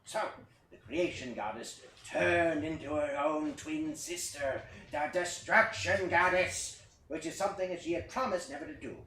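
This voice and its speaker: A man playing a theatrical fable-teller with a high voice and wry conversational asides. He is picked up from a distance in an echoey room, as in a staged play reading, with faint noise. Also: moderate pace, fairly bright tone, lightly acted. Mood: dramatic, wry. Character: lively puppet-show storyteller spinning a mock-epic creation fable.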